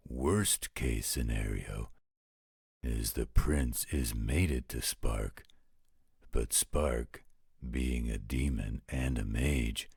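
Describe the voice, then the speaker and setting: Very deep, gravelly werewolf guardian. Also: male voice, a little mellow, dry recording, studio quality, exaggerated voice. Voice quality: gravelly, husky. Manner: slow, grumbling, protective. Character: gruff, grumbling, overprotective male werewolf guardian. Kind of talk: roleplay audio drama acting.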